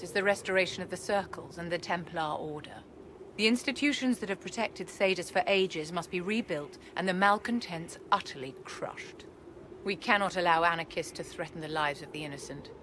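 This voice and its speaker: Actress as a low-voiced, imperious court enchantress. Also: female voice, noisy background, close to an everyday voice. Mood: firm, persuasive. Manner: poised, haughty, condescending endearments, measured formal speech. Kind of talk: video game cutscene acting.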